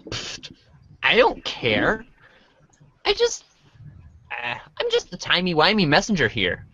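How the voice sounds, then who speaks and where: A woman's voice: fairly low pitch, huge pitch swings, slow pace, bright, forceful tone, breathy edge, dry recording, highs cut off, full character voice. A woman voicing a laid-back, slightly awkward teenage boy in an amateur reader's theater, casual and hesitant.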